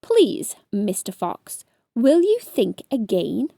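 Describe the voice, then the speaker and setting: A woman voicing a small, brave young male hedgehog who turns squeaky and nervous when scared. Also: very animated, slow, creaky, mellow, dry recording, clean studio sound, full character voice. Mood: pleading.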